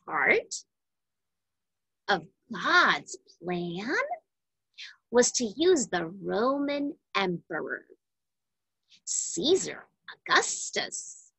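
Lady reading a kids bible story super slowly, really swoopy expressive voice, bit husky. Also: medium pitch, dry recording, rough recording. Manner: slow, deliberate, emphatic phrasing for young children. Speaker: children's story reader. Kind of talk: read-aloud children's Bible storybook narration.

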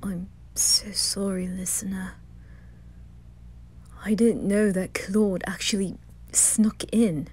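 A woman voicing a reserved, concerned young male aristocrat, quiet and understated, in an ASMR roleplay. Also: mid-range voice, steady tone, takes it slowly, long pauses, husky.

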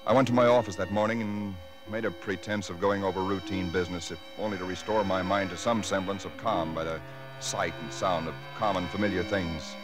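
Very deep, haunted actor as husband, old radio. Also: male voice, fairly even intonation, lightly acted. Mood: tense dread. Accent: American. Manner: halting, brooding, intense. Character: haunted, dread-filled husband recounting nameless horrors. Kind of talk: 1940s radio drama acting, first-person narration. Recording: 1940s radio broadcast with loud music.

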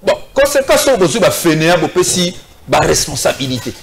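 Un homme d'une quarantaine d'années, débattant avec véhémence et un ton critique sur un plateau de télévision. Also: male voice, fairly high pitch, big pitch swings, fairly quick, vocal fry. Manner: emphatic, critical, gesturing. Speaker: political commentator. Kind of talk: TV debate. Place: TV studio set.

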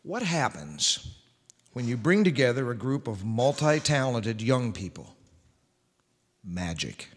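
A man's voice: medium pitch, sing-song, slow pace, long pauses, slightly rough. Middle-aged male announcer, formal old-time radio showman, scripted stage reads, long hall reverb. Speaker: radio show host and announcer. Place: large church sanctuary stage. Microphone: microphone on a stand.

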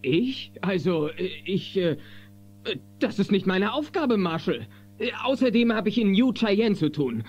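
A timid, evasive townsman stammers in a high, jumpy voice. It is hesitant radio play acting on a dull cassette. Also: sing-song, unhurried. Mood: nervous, evasive. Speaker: actor in audio drama. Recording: dull cassette, music behind.

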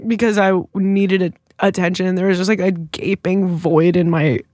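A woman's voice: lowish voice, steady tone, moderate pace, a little mellow, no room sound, clean studio sound. A woman in her thirties, a comedian guest on a podcast, telling rambling stories with lots of giggles and fillers. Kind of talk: casual podcast chat.

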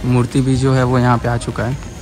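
A man's voice: medium pitch, monotone, brisk, over music, rough recording. A young man with a Varanasi Hindi accent talks viewers through a site, chatty and pointing things out, filmed outdoors on a phone held at arm's length. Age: young adult. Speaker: vlogger. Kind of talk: walkthrough vlog narration. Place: outdoor sports field in a city. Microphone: phone camera held at arm's length.

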